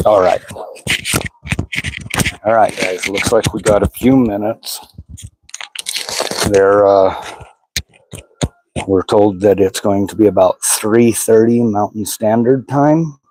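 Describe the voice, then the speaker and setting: Hoarse low-voiced man, slow and casual. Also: noisy background. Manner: informal, talks directly to the chat. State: hoarse from bronchitis. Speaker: livestream host. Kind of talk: casual livestream setup chatter to viewers.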